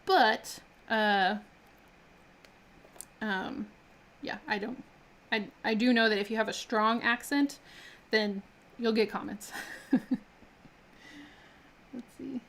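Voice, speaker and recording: A woman's voice: on the high side, slow pace, long pauses, fairly bright tone. Chatty woman crafting on a live stream. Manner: chatty, laughs often, many fillers and restarts. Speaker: miniature artist streaming live. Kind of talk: casual chat while crafting.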